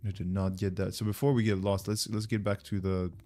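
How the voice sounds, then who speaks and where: A man with a French accent chats casually as a livestream host. His voice sits fairly low and he rambles informally, thinking out loud as he goes. Light music plays behind him. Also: flat delivery, a little fast. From France. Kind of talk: casual livestream chat with viewers.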